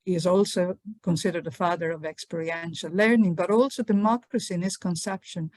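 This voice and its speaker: A woman academic giving a webinar lecture on a video call, thoughtful and measured, often pausing with fillers and correcting herself. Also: lowish voice, fairly even intonation, darkish tone, no room sound.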